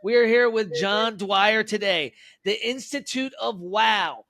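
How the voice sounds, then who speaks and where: A man with a high, bright voice hosts a business podcast. He sounds agreeable, affirming his guest's points before steering on with leading questions, and the recording is close and dry. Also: a little slow, no echo. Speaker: podcast host. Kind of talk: podcast interview hosting.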